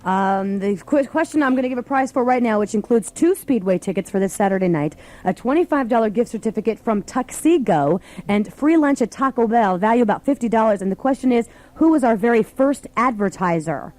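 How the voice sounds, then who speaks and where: A woman with an American accent and a slightly high voice hosts live radio. She chats informally with callers, running the segment in an easy conversational style from the studio. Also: lively intonation, a little fast, few pauses, darkish tone. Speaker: radio host. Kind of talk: live call-in morning show banter.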